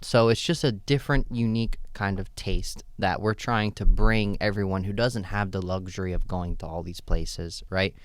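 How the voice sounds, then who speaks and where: A man's voice: low voice, normal speed, few pauses, clear, resonant tone, no room sound. A man who owns a shop, answering interview questions in a casual, loose way with lots of "right?" and "you know". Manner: relaxed, loose, many fillers and "right?" tags. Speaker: store owner, guest.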